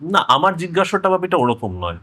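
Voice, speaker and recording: A man's voice: fairly high pitch, very animated, fairly quick, bright, forceful tone. A man interviewing conversationally, interjecting and persistently rephrasing his questions.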